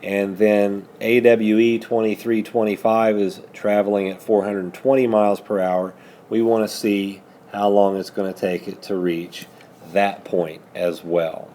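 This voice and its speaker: A man's voice: fairly low pitch, warm tone, a little hiss or hum. A man working methodically through a math lesson as a teacher, patiently prompting students with questions. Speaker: high school algebra teacher.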